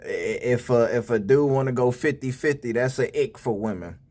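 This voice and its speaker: A man in casual reaction-video commentary who talks like he is preaching, full of slang and direct advice. His voice sits at an ordinary middle pitch, and his lines often drop in tone as he gets serious. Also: normal speed, a little mellow. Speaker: reaction channel host.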